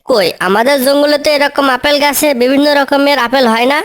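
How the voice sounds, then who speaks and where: A woman's voice: high-pitched, steady tone, brisk, natural voice. A woman voicing a small, clever female bird in a children's cartoon, with a coaxing, pleading manner. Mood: innocent, curious. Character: small clever female tailorbird, helpful, wheedling niece. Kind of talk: children's cartoon story dialogue.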